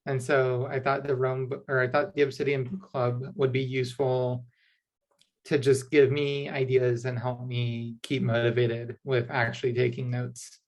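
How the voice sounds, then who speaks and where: A woman's voice: a bass voice, flat delivery, a little slow, a little mellow. Young woman student, loose chatty intro over a video call, keeps rephrasing herself. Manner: rambling, self-correcting, chatty. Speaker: graphic design student.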